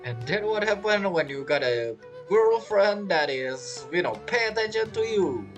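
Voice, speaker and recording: A game streamer with a lively, swinging pitch chats casually over a playthrough. The asides are teasing and joking, and faint music plays behind. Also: a little slow, muffled recording. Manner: teasing, joking asides, non-native phrasing. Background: video game music.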